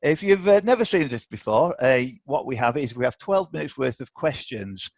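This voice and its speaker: A man's voice: mid-range voice, animated, normal speed, darkish tone, no room sound. A man hosting a live online quiz, chatty and encouraging as he reads questions aloud. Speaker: quiz host. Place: online video call.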